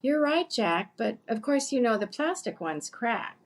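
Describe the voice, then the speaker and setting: A woman's voice: on the high side, sing-song, unhurried, full character voice. A woman playing a dry, teasing, long-suffering companion in an old-time radio comedy. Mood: wry. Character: wry, long-suffering female companion of a shopper.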